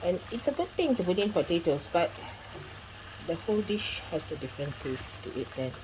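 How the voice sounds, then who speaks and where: A woman's voice: on the low side, big pitch swings, brisk, noisy background. A middle-aged woman hosting a home cooking demonstration, giving chatty step-by-step instructions with frequent asides. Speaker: cooking channel host. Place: home kitchen.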